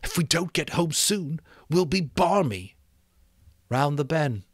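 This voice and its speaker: An earnest, excitable schoolboy acted by a man, pitched well above an adult narrator and recorded dry and close. He is fussy and anxious, a dignified child in a storybook drama. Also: takes it slowly, dry recording, exaggerated voice. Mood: earnest, pleading. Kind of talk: dramatic audiobook character voice.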